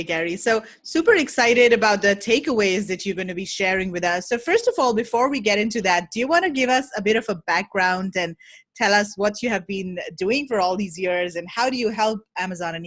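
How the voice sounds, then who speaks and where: A woman's voice: medium pitch, lively intonation, brisk, a bit bright. A woman hosting a podcast, introducing her guest and asking questions in a friendly, upbeat way. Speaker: podcast host. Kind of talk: podcast interview.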